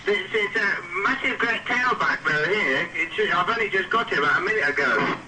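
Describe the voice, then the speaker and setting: A flustered, halting male reporter speaking off the cuff over a radio link. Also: sits high, lively intonation. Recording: noisy distant two-way radio link.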